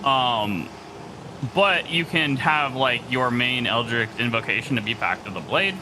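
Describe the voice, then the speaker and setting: A man's voice: medium pitch, measured pace, noisy background. A man co-streaming a game, chatting informally and thinking aloud as he explains things. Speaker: guest co-streamer. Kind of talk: casual chat during a game stream.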